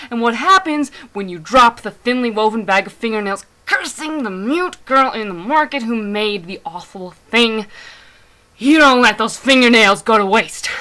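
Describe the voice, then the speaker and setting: A woman's voice: a little high, pushed and bright. A woman playing a feisty grave-robbing scavenger in a scornful, breathless theatrical rant.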